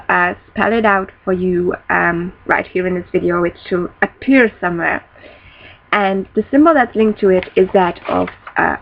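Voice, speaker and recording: A young woman, a native Dutch speaker, teaching a casual spiritual lesson from a small room, often pausing to find words. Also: medium pitch, vocal fry. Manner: thoughtful, searching for words, restarts often. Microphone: built-in laptop webcam mic, close. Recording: dull lo-fi webcam audio.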